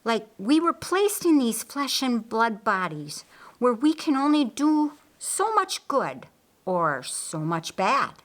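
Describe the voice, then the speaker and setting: A woman acts out an earnest man in an audiobook story, a pupil working out big ideas aloud. The voice is lifted high and moves slowly, halting and searching as it goes. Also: big pitch swings, fairly bright tone, heavily acted. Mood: earnest, wondering. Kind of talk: audiobook character dialogue.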